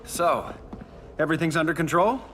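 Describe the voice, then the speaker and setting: A man's voice: a little high, moderate pace, background noise, lightly acted. An actor playing a cocky, wisecracking male archer superhero with a flippant manner in a game drama. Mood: wry, cocky. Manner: flippant, quippy. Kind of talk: scripted video game drama.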